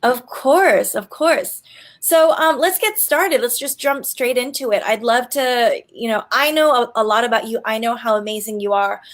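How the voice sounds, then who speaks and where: A bubbly woman in her forties hosts an online interview series. Her voice sits a little high, and her talk is chatty and loose, full of "um"s. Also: normal speed. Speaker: interview host and business coach. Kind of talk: upbeat online show hosting.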